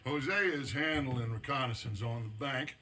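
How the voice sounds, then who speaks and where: An actor playing a scheming middle-aged outlaw gang leader in a game cutscene, with a gravelly voice and a measured, theatrical, persuasive delivery. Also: male voice, mid-range voice, lightly acted. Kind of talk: video game cutscene acting.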